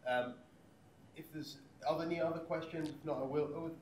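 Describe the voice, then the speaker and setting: A man's voice: fairly high pitch, fairly even intonation, brisk. A man casually moderating a panel and managing questions. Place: conference room. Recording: distant mic, echoey room, faint noise.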